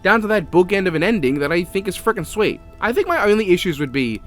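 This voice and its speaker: An Australian man delivers casual, opinionated review commentary with plenty of swearing. His pitch sits a little high and swings around animatedly. Soft music plays underneath the narration. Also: sing-song, fast talker. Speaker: video reviewer.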